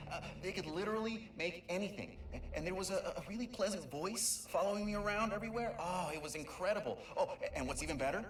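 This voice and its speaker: A man's voice: sits high, echoey room, distant mic, natural voice. A man voicing a young, eager, nervy male alien explorer in a video game audio log, stammering and rambling self-consciously as he talks.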